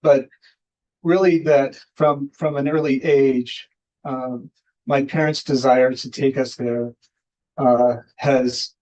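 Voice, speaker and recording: An American man giving an informal guest speech in a halting, hesitant way, often restarting his phrases. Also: mid-range voice, fairly even intonation, darkish tone, highs cut off. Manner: halting, hesitant delivery with repeated starts. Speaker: guest speaker, filmmaker. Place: indoor event hall, speaking at a podium.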